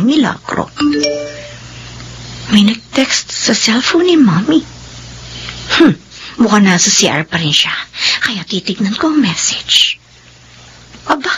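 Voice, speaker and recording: A woman's voice: a little high, a bit bright, slight background noise, full character voice, poor audio. A woman playing a suspicious, scornful young daughter in a melodramatic drama, sarcastic and exclamatory. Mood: suspicious, brooding. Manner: sarcastic, exclamatory, mixes in English. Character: suspicious, scornful daughter confronting her mother. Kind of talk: melodramatic radio drama acting.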